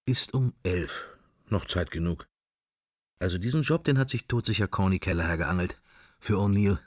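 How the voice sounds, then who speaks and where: Husky, low-voiced musing daydreamer. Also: male voice, normal speed, no echo, studio quality. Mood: wry, musing. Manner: fragmentary, drifting, thinking to himself. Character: musing man in Dublin, idly drifting daydreamer. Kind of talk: interior monologue in a radio drama.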